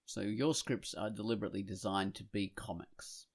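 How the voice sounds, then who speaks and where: Low-pitched man chatting casually. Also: moderate pace, gravelly. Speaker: podcast host and comic artist. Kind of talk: casual podcast chat.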